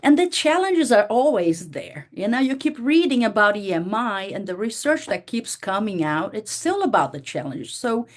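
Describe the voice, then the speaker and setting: A woman's voice: mid-range voice, very animated, normal speed, hardly any pauses. A woman whose first language is Portuguese, giving a conversational workshop talk to teachers, with frequent fillers and restarts. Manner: conversational, many "you know" fillers and restarts. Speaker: workshop presenter.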